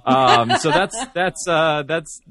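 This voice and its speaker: A man's voice: on the high side, expressive, slow, a crowd in the background. A man speaking as a guest in a casual interview, explaining things in an informal, rambling way. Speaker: guest, audio-drama creator and producer.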